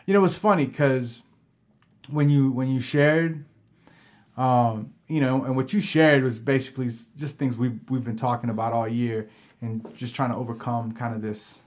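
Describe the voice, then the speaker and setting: A man's voice: medium pitch, moderate pace. Rambling male podcast co-host. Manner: relaxed, rambling, many fillers and restarts. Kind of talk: casual chat.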